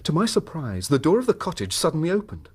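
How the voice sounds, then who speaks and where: Troubled husband, slightly rough voice, very expressive intonation, period radio drama, precise literary diction. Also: male voice, fairly high pitch, fast, full character voice. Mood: sombre, bitter. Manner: formal, precise, literary phrasing. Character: troubled, suspicious husband recounting his wife's secret.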